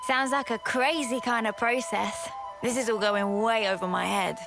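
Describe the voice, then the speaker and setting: A young female game fighter, blunt and easygoing. She talks in cheeky slang with a slightly high voice, over prominent music. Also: fairly even intonation, moderate pace, vocal fry. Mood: puzzled, skeptical. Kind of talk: video game cutscene acting.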